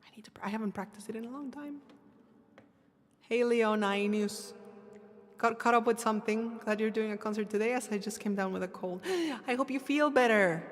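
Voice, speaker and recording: Soft-spoken woman, high voice. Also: in a large hall. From Mexico. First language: Spanish. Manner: soft-spoken and quiet, with light laughs and quick asides. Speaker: singer hosting a live-streamed concert. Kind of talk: casual chat between songs, reading viewer comments. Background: guitar playing.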